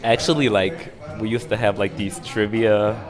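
A young man from Israel chatting casually as an interview guest, speaking in a hesitant, reflective, halting way. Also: fairly low pitch, fairly even intonation. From Dimona, Israel. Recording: music playing behind the voice.

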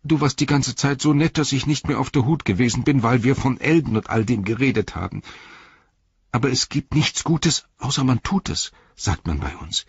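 Man as storybook halfling servant, male, earnest, rambling, folksy, deferential, raspy edge, slightly dull sound. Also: medium pitch, expressive, normal speed, no echo, voice pushed up. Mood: flustered, pleading.

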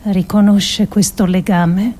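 Slow, mellow, grave woman thinker. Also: medium pitch, fairly even intonation. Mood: solemn, hopeful. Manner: deliberate, measured, emphatic phrasing with dramatic pauses. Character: grave, idealistic female political thinker delivering a manifesto. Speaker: actress performing a radio monologue. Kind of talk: theatrical scripted monologue adapted from philosophical essays.

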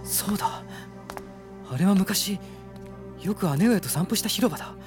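Earnest young prince, swooping pitch. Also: male voice, lively intonation, unhurried, music in the background. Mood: wondering, bewildered. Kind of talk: radio drama acting.

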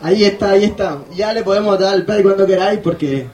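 A man with a distinctly high voice hosts a radio show. He introduces music casually, using loose, colloquial phrasing as if chatting with friends. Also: fast talker, a little mellow. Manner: informal, colloquial.

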